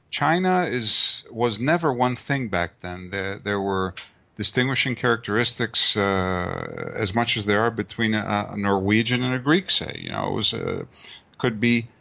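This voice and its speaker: A man's voice: on the low side, vocal fry, pushed and bright. A middle-aged man answering interview questions at length, rambling with many fillers and restarts. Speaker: guest author and martial artist. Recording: dull lo-fi remote audio.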